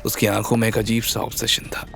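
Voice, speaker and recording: A man's voice: on the low side, monotone, fairly quick, creaky, a bit bright. A man narrating a scripted story in a dramatic, suspenseful storytelling manner.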